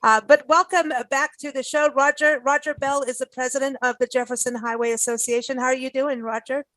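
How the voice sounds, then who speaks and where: A woman's voice: high voice, steady tone, a little fast, a bit bright, dry recording, low-quality recording. A woman hosting a radio talk show, chatting casually and enthusiastically with guests. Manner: bubbly, laughing, enthusiastic. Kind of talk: casual chat interview.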